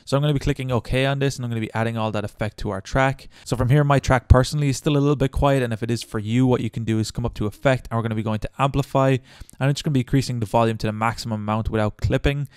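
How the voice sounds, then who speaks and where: A man narrating a casual step-by-step software tutorial in a chatty, informal way on a good close microphone. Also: medium pitch, steady tone, very fast, clean tone, no echo. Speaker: tutorial host. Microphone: good close microphone, voice-over.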